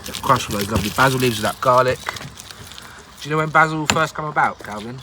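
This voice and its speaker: A man's voice: mid-range voice, quick pace, noisy background. A man hosting a casual outdoor cooking show in the woods, talking through each step with plenty of jokes and laughter. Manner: chatty, jokey, laughs often.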